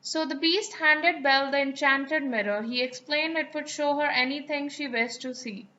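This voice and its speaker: High, bright woman reading a storybook. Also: normal speed, highs cut off. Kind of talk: scripted children's storybook narration.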